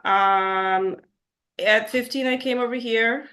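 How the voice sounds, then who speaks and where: Woman in her 40s from guyana, bright clear voice, chatty storyteller w lots of ums. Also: a little high, fairly even intonation, slow. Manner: storytelling, warm laughs, many fillers. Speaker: guest nurse practitioner. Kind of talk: interview conversation.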